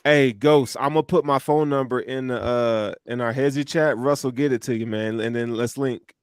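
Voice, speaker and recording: A man's voice: mid-range voice, normal speed, smooth, no room sound. A man co-hosting a sports podcast, chatting casually in an emphatic, slangy way.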